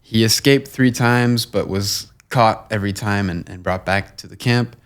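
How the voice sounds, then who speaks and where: A young man from Long Island, guest on an interview show, talking in a measured, earnest way with fillers and restarts. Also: on the low side, clean tone. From Long Island, New York. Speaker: guest, nonprofit co-founder. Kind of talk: conversational interview.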